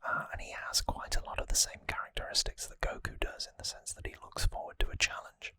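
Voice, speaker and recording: An ASMR host whispering a trivia recap gently and hesitantly, close to the microphone. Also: fast, dry recording. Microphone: close microphone, dry sound.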